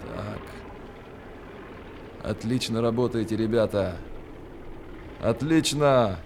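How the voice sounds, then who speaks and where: An actor playing a steady, fatherly army major in a radio drama, giving clipped commands and calm reassurance. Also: lowish voice, takes it slowly, creaky. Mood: calm, reassuring. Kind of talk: Soviet radio drama acting. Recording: noisy radio drama with vehicle ambience.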